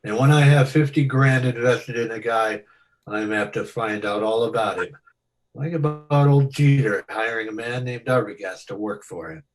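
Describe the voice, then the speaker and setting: A man's voice: mid-range voice, moderate pace, natural voice, rough recording. A man playing a self-assured, menacing casino boss in a radio detective play, terse and commanding. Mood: coolly threatening. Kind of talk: old-time radio detective drama acting.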